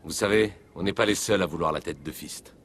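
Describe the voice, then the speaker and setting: An actor playing a keen, determined alien police officer in a video game, confident and matter-of-fact. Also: male voice, low-pitched, brisk, fairly bright tone, slightly rough.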